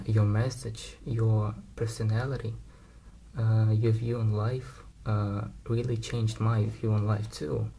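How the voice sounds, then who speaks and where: A man's voice: on the low side, vocal fry, warm tone, slight background noise. A nineteen-year-old man from Kazakhstan, a native Russian speaker with a Russian accent, recording a hesitant, heartfelt thank-you message with frequent fillers into a webcam in a small room. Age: teens. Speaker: fan sending a video message. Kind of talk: heartfelt direct-to-camera message.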